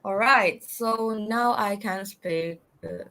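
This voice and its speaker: A young woman from Indonesia with an Indonesian accent. She is a guest in a casual online interview over a video call and speaks halting English full of "like" and "um". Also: medium pitch, pushed and bright. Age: young adult. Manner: halting English, frequent "like" and "um" fillers. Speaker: guest student.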